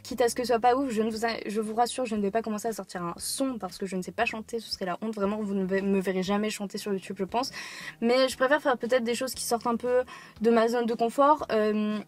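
A woman's voice: fairly high pitch, fairly even intonation, brisk. An eighteen-year-old woman from France, talking casually to camera from a parked car, rambling with many fillers and restarts. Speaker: YouTuber. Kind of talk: casual talking-head vlog.

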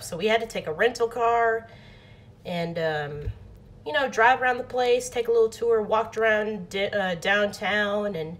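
A young woman tells a casual story straight into her phone at home, looping back and restarting sentences as she goes. Her voice sits at an ordinary middle pitch for a woman. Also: fairly bright tone, a little hiss or hum. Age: young adult. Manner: rambling, many restarts and fillers. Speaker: vlogger. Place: bedroom at home. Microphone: phone or webcam, close framing.

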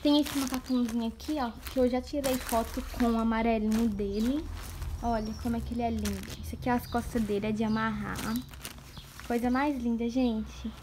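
A woman's voice: a little high, fairly even intonation, a little fast, background noise. A young woman from Brazil, chatting to her phone camera at home in a gushing vlog style and often calling out to her viewers, her nose stuffy from a cold. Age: young adult. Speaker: vlogger. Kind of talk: casual vlog chat to camera. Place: home rooms indoors. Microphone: phone camera at arm's length.

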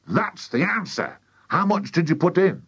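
A man voicing an excitable, bossy farmer father, loud and eager, for a children's audiobook. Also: on the high side, normal speed, slightly rough, highs cut off, pitched above the performer's own voice, full character voice. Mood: bossy, urgent.

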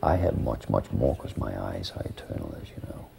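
A man telling a first-person story in a hushed, breathy whisper. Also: rumbling low, very animated, a little fast, a little hiss or hum.

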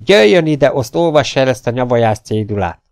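A man voicing a rude, coarse rat-faced sailor in an audiobook adventure story, shouting insults. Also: medium pitch, sing-song, vocal fry, voice pushed up. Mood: bullying, impatient.

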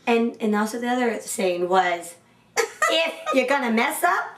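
A woman's voice: a little high, big pitch swings, measured pace, fairly bright tone, far from the mic. A woman in her twenties hosting a casual interview at home, chatty and bubbly as she talks about her singing lessons. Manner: bubbly and chatty, with a lot of laughter. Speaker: host, singing student. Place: indoor home room lined with CD shelves. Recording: roomy, a little off-mic.

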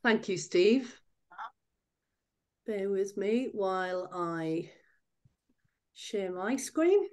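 Croaky woman presenting slowly. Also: medium pitch, lively intonation, darkish tone. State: croaky voice from illness. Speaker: training presenter. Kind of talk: informal online presentation with slides. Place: indoors on a video call. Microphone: video call microphone.

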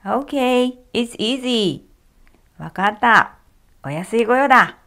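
A woman voicing a gruff, jolly father thunder spirit in a storybook reading, speaking in a hearty, friendly way. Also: a little high, animated, slow, sharp tone, heavily acted. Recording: faint music behind.

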